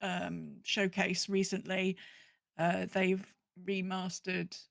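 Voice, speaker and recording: A woman's voice: lowish voice, little pitch movement, slow, gravelly, no echo. Chatty woman, close dry sound. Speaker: podcast co-host. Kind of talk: casual gaming podcast chat.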